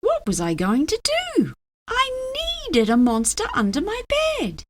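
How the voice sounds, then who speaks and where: Lady reading a kids bedtime book, super expressive swoopy voice, takes her time. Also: unhurried, background noise. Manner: dramatic, highly expressive. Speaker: storybook reader. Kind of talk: expressive children's read-aloud narration.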